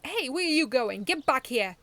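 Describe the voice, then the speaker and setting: A woman voicing an eager young male robot fighter who shouts excitedly. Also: high voice, moderate pace, pitched above the performer's own voice, exaggerated voice. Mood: indignant. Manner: loud and excitable.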